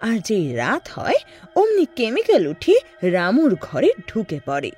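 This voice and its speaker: A woman's voice: a little high, huge pitch swings, unhurried, darkish tone, husky, faint music behind. A woman narrating a scripted story in a dramatic storytelling style.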